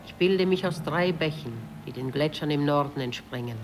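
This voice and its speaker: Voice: medium pitch, normal speed, music in the background, natural voice, poor audio. An actor voicing a proud, wild river spirit born of glacier ice, in a poetic monologue from a 1950s German radio play. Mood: proud, lyrical. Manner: lyrical, proud, declaimed. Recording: old radio drama with faint noise.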